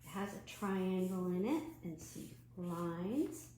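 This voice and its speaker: A woman leading an online children's storytime and craft from home, animated and putting questions to young viewers. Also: lowish voice, slow pace. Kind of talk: children's storytime read-aloud and craft demonstration. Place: at home, indoors. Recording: roomy echo, faint background noise.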